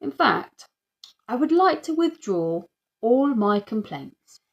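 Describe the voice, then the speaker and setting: A woman's voice: fairly high pitch, sing-song, slow, gravelly, lo-fi sound, voice pushed up, full character voice. A woman voicing a pompous, formal male neighbour who captains the neighbourhood watch, in a children's audiobook. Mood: pompous, polite.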